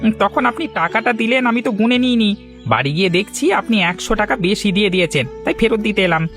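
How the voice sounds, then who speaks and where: Guy doing humble pleading cartoon veggie seller, high sing-song pitch, light music. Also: animated, fast talker, a bit bright. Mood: earnest. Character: honest, pleading male village cauliflower seller. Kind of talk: Bengali cartoon dialogue. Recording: faint music behind the voice.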